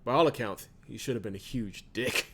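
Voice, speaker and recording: A man's voice: medium pitch, a little fast, background noise. A man hosting a visual novel playthrough, reading the narration aloud and reacting casually. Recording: loud game music behind voice. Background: game music.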